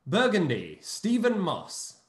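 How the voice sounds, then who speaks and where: A man hosting an online play reading, briskly announcing each cast member over a video call. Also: sits high, echoey room. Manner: brisk, announcing names in a lively sing-song. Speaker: host and producer.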